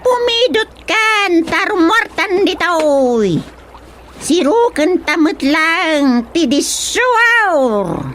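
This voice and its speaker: A woman's voice: extremely high pitch, very animated, drawn-out words, fairly bright tone, exaggerated voice. A woman playing a playful, commanding talking crocodile in a radio drama, drawing out her words theatrically. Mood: grand, inviting. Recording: clear background noise, water ambience.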